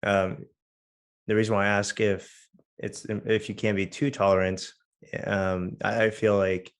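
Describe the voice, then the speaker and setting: A young man with a hoarse voice hosting a casual podcast interview, talking in halting bursts with many fillers and restarts. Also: lowish voice, level tone. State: hoarse from losing his voice. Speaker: podcast host.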